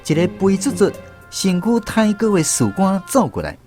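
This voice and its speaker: An actor playing a former army conscript who narrates his memories in a radio drama, with a Taiwanese accent and an expressive storytelling manner. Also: sits high, moderate pace, over music. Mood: reflective storytelling.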